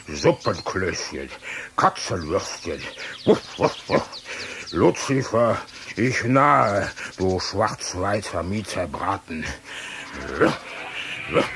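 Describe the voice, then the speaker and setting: An actor playing a big, gleefully menacing dog in a children's audio play. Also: male voice, deep voice, lively intonation, takes it slowly, gravelly, a bit bright, slight background noise, full character voice. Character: big, menacing but jolly guard dog.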